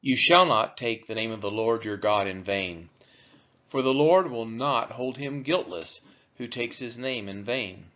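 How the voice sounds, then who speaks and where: A man reading scripture aloud as a narrator for a church read-along, in measured, deliberate phrasing. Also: mid-range voice, pushed and bright. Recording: dull, lo-fi recording.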